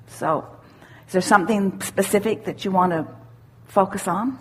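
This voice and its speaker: A woman giving spiritual self-help teaching to a questioner, assured and deliberate, repeating phrases for emphasis. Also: mid-range voice, husky, poor audio. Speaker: speaker leading a question-and-answer workshop.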